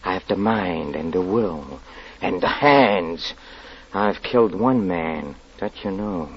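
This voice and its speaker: An actor playing a murderous convict who poses as a pastor, halting and theatrical, in old radio drama style. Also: male voice, low-pitched, animated, husky, exaggerated voice. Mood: quiet menace. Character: murderous escaped convict posing as a small-town pastor, bitter, taunting. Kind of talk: 1940s radio drama acting. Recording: dull, lo-fi 1940s radio recording.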